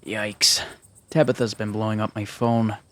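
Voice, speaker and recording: A laconic male protagonist voiced by a man in a visual novel. His voice is fairly low, and he talks in a dry, casual, understated way. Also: little pitch movement, measured pace, a bit bright. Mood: uneasy, dry. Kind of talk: visual novel fan dub.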